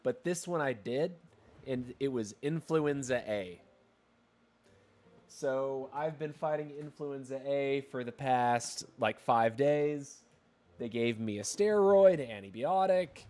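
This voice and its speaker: Congested male streamer, unhurried chat, average pitch, slightly scratchy throat. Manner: chatty, relaxed, rambling asides. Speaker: game streamer. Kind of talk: casual livestream chat.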